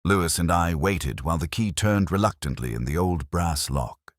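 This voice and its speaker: A man playing a brooding police inspector who narrates a murder case in a measured, reflective, literary way. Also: low voice, lively intonation, dry recording, clean studio sound. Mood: brooding, observant. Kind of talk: first-person scripted detective story narration.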